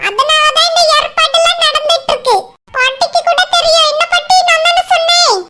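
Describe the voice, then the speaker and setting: Boastful, insistent cartoon little girl, Kanyakumari Tamil accent, extremely high processed voice, clear background noise. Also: a little fast. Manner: eager, insistent. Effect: pitched-up cartoon voice.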